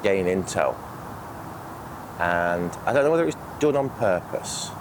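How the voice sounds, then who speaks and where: A British man with a croaky, sniffly voice from a cold, chatting casually and a little hesitantly as a fishing vlogger outdoors. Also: low-pitched, animated, unhurried, background noise. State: has a cold. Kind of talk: casual outdoor vlog talk to camera. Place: outdoors on a lake bank.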